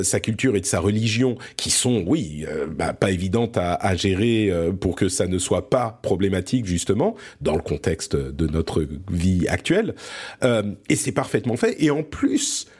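A man's voice: on the low side, darkish tone, breathy edge. A man from France hosting a podcast, chatting casually about pop culture and often searching for words as he restarts his sentences. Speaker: podcast host.

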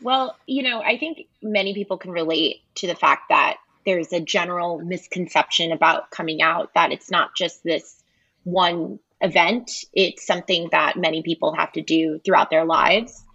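Low, dry-voiced American woman. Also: moderate pace, gravelly, pushed and bright, husky, dry recording, muffled recording. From United States. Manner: chatty, playful, many ums. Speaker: podcast guest, filmmaker. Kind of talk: casual chat.

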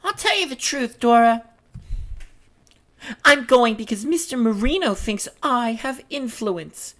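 Woman voicing breezy coy young woman, raised pitch, animated swoops, theatrical, stage musical read-through. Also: unhurried.

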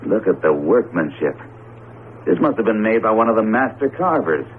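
Breezy skeptical professor, muffled old radio. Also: male voice, fairly low pitch, sing-song, normal speed. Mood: reasoning. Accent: American. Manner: wry, breezy, explanatory. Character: genial, skeptical male psychology professor, hearty and hungry. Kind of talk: old-style radio drama acting. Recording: muffled, noisy 1970s radio broadcast.

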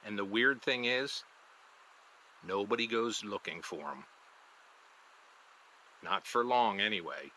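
Ominous man, low tense voice. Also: slow, pauses often, pushed and bright, slight background noise, low-quality recording. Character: ominous, guilt-ridden male neighbor with secrets. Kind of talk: audio drama acting.

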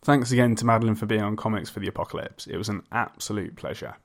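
A man's voice: on the low side, fast talker, creaky. A man hosting a casual interview podcast, speaking in an effusive, readily agreeing way.

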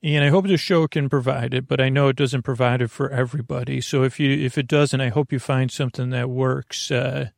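A man hosting a bedtime sleep podcast, speaking in a gravelly, creaky voice and meandering haltingly through tangents with stammers and trailing thoughts. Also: medium pitch, steady tone, no echo, clean studio sound. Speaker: podcast host.

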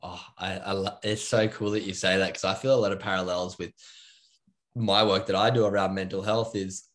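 Guy hosting a podcast, kinda low voice, friendly encouraging questions. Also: little pitch movement, fairly quick, a bit bright. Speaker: podcast host. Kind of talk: casual interview questions.

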